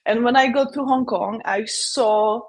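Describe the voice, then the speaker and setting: A woman's voice: fairly high pitch, measured pace. A woman from the Czech Republic with a Czech accent, a guest chatting casually in an interview, talking with lots of fillers and frequent laughs. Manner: chatty, laughs often, many fillers and restarts.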